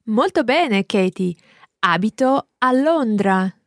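A woman tutoring a language lesson, modelling phrases slowly and carefully and praising the learner. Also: on the high side, lively intonation, no echo, clean studio sound. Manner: slow, careful, encouraging pronunciation. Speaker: native-speaker language tutor.

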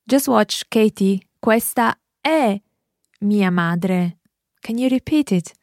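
A woman tutoring a language lesson, modelling phrases slowly and carefully and praising the learner. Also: medium pitch, expressive, darkish tone, no room sound, studio quality. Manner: slow, careful, encouraging pronunciation. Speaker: native-speaker language tutor.

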